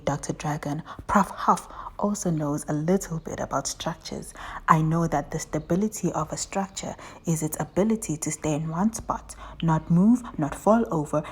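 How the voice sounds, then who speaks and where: A woman's voice: low-pitched, slightly rough. A woman voicing a friendly professor puppet who hosts a children's lesson and explains things directly to little learners. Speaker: host. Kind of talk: educational puppet show for children.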